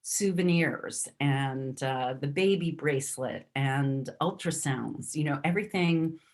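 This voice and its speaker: Low slow-talking middle-aged lady guesting on a podcast, lots of ums, remote call. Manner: unhurried, many "um"s and restarts, laughs easily. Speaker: guest speaker. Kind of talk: casual podcast conversation.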